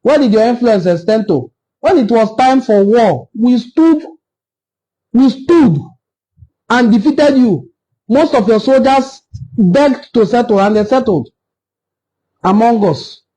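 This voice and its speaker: Assertive Nigerian man with a high-pitched voice. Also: expressive, slow, pauses often, dark tone, low-quality recording. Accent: Nigerian Igbo-language. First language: Igbo. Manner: assertive, argumentative, emphatic repetition. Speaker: video host on history. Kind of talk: impassioned informal history talk to camera.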